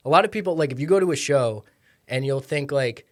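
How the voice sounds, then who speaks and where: A man's voice: medium pitch, lively intonation, a little fast. A man appearing as a guest on a podcast in casual chat, explaining at length with lots of "like" fillers. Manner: rambling and explanatory, full of "like" fillers.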